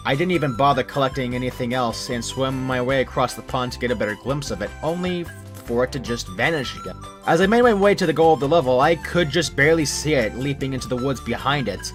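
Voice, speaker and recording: A man's voice: mid-range voice, fast. A man reading a scripted first-person creepypasta story as its narrator. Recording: music under the narration.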